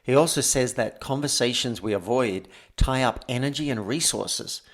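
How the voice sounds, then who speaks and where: A man with a mid-pitched voice hosts a coaching podcast. He talks conversationally and explains things in a measured, orderly manner. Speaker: podcast host and communication coach. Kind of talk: conversational coaching and show introduction.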